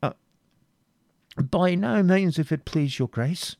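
A man's voice: sits high, takes it slowly, vocal fry, mellow, no echo, studio quality, pitched above the performer's own voice, full character voice. A man voicing a humble, anxious medieval supplicant in a storybook reading, hesitant and deferential. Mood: anxious deference.

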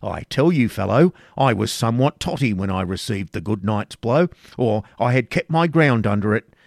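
A burly medieval friar from a storybook, acted out by a male narrator, blustering and spoiling for a fight. His speech is archaic and bombastic, in a fairly low voice recorded close and dry. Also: expressive, normal speed, vocal fry, no room sound. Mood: indignant.

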